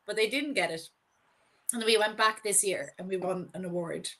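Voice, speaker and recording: A woman's voice: mid-range voice, normal speed, a bit bright. A woman who is unwell and losing her voice, talking informally and anecdotally as an interview guest. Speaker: podcast guest, startup founder. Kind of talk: casual interview talk.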